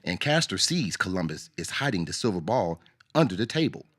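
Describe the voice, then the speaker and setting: A man narrating a scripted radio drama as a storyteller, in a measured, dramatic way. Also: deep voice, big pitch swings, fairly bright tone, no room sound.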